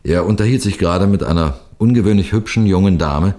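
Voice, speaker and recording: A man playing a seasoned, composed police detective who narrates a 1960s radio crime drama in a measured, precise, formal way. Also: deep voice, warm tone, natural voice. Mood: composed storytelling. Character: seasoned male police detective telling his cases, composed, authoritative.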